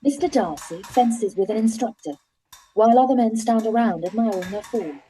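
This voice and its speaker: A woman narrating stage directions in a plain, measured way for a radio play reading. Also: a little high, mellow, a little hiss or hum, poor audio.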